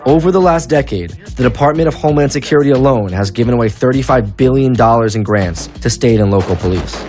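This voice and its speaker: Man, mid-range voice, documentary reporter, music behind him. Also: medium pitch. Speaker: reporter and narrator. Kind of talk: scripted documentary narration and on-location interviewing.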